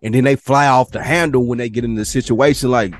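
A young man co-hosting a podcast, telling stories casually and animatedly. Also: fast. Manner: animated storyteller, mimics people in higher voices. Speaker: podcast co-host. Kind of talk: casual chat.

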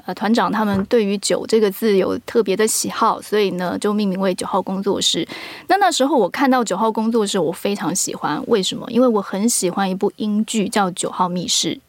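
A woman's voice: mid-range voice, dry recording. Earnest Taiwanese woman in a dry recording. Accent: Taiwanese Mandarin. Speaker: audio drama producer, podcast guest. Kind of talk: casual interview talk.

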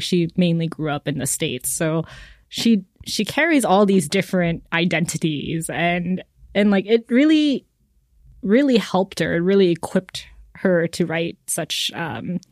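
A woman co-hosting a podcast, chatting casually about a book with lots of fillers and lively retellings. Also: lowish voice, takes it slowly, no echo, clean studio sound. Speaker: podcast co-host.

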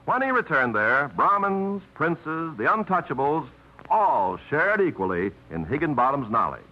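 A man's voice: a little high, sing-song, a little slow. A man announcing a 1950s radio drama in an earnest, declamatory style, on a dull old radio recording.

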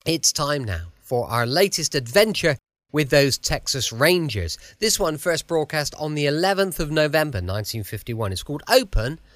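A man's voice: fairly high pitch, big pitch swings, normal speed, a bit bright, no echo, studio quality. A man with a British accent hosting a podcast, giving a chatty introduction to his show. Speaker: podcast host. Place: home, close dry recording.

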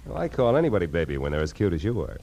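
An actor playing a wry, hard-boiled private detective in a 1940s radio noir, narrating and talking in cool slang. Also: male voice, deep voice, sing-song, rapid-fire, creaky, warm tone, a little hiss or hum, full character voice. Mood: smooth, inquisitive. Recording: old radio recording with music.

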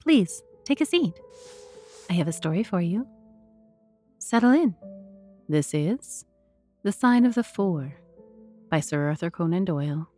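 A woman narrating a literary tale as a storyteller, measured, deliberate and expressive. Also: on the low side, soft background music. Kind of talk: dramatic scripted literary narration.

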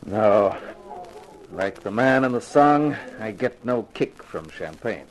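Wry hard-boiled radio detective. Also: male voice, fairly low pitch, lively intonation, slow. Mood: cool, wisecracking. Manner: wry, slangy, tough. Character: hard-boiled wisecracking male private detective narrating his case. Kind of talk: 1950s radio detective drama acting. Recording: noisy vintage radio recording.

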